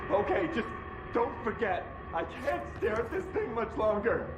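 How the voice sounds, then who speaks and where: A panicked agent who begs for help in a very high, strained voice. His pleas ring out in an echoey room, over loud background noise. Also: very animated, normal speed. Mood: anxious pleading. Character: frightened male agent staring at an object.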